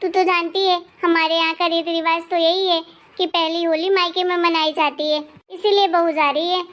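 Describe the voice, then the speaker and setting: A woman voicing a kindly older mother in an animated family story, patient and soothing as she explains things. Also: very high voice, normal speed, muffled recording. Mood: patient explaining.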